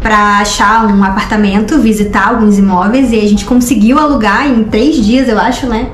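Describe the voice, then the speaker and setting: A woman's voice: mid-range voice, steady tone, moderate pace. A woman in her twenties with a Rio de Janeiro accent, telling a story casually to the camera as a vlogger. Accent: Rio de Janeiro Brazilian Portuguese. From Rio de Janeiro, Brazil. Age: 20s. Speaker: vlogger, co-host of a couple's travel channel. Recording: roomy echo, music behind.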